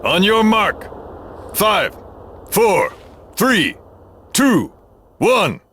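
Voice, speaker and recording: High, clipped military subordinate. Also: male voice, sing-song, full character voice. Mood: alert, obedient. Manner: procedural, barked, deliberate. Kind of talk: animated video game cutscene acting. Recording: noisy background.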